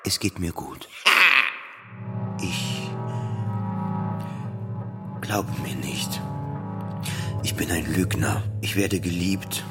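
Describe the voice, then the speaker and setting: A man's voice: low-pitched, steady tone, very slow, long pauses. An actor playing a self-doubting, depressed man in an intimate, brooding first-person radio play monologue. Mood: bleak, self-loathing. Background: music.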